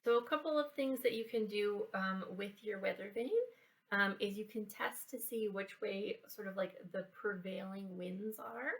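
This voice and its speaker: A woman giving a casual how-to science and craft demonstration for children, explaining in a halting way and checking in as she goes. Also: medium pitch, distant mic. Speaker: library children's program presenter. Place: indoors, in a library building.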